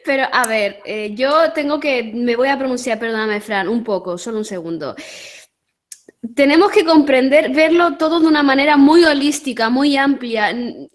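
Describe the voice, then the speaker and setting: A woman with an accent from Spain, hosting an interview and encouraging her guest. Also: high voice. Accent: Spain Spanish. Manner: attentive, encouraging, interjects with short replies. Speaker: host.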